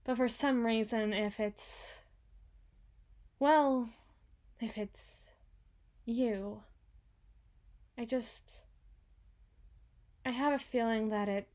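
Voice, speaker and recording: A woman's voice: a little high, steady tone, extremely slow, pauses often, darkish tone. Woman acting a frazzled, haughty female boss. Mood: awkward, shy. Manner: formal, wordy, haughty yet flustered, stammering. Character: frazzled, proud, secretly insecure female company boss. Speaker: voice actor. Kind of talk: ASMR roleplay monologue.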